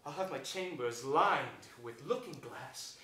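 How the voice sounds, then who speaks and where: A scheming, self-satisfied villain duke of classical theatre. The voice is high-pitched, bright and forceful, declaimed in grand verse across an echoey stage. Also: male voice, lively intonation, a little slow, a little hiss or hum. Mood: gloating, exultant. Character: scheming, gloating villainous duke who feigns penitence. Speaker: stage actor. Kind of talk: theatrical Shakespearean stage reading. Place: stage in a theatre hall, distant camera.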